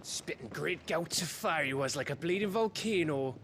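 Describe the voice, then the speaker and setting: An actor playing a cheeky, excitable, rough-spoken British scout in a video game cutscene, talking in a colloquial, theatrical way. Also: male voice, on the high side, moderate pace, noisy background. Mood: excited, indignant.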